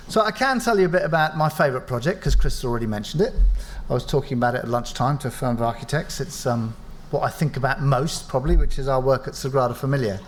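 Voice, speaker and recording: Measured, reflective man on a panel. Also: medium pitch, slight background noise. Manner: measured, reflective, self-deprecating. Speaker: engineering firm panelist. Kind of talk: panel talk. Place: event space with live audience.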